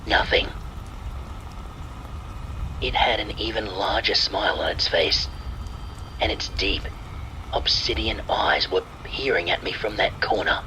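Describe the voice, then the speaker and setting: A man reading a scripted first-person horror story in a measured, deliberate storytelling manner. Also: on the low side, low-quality recording. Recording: steady rain noise behind the voice. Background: rain and thunder sounds.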